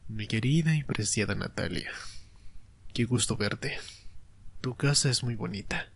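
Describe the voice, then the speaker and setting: A man's voice: medium pitch, animated, a little slow, vocal fry, a bit bright, slight background noise. A man voicing a sly male vampire enforcer in an audio drama, mockingly polite and taunting. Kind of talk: Spanish-language ASMR roleplay audio drama.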